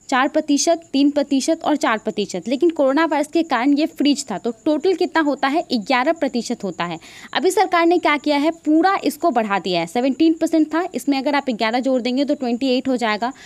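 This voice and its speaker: High-pitched Indian woman explaining the news. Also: no room sound. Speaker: presenter of a news explainer channel. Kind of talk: explanatory news narration in Hindi with English terms.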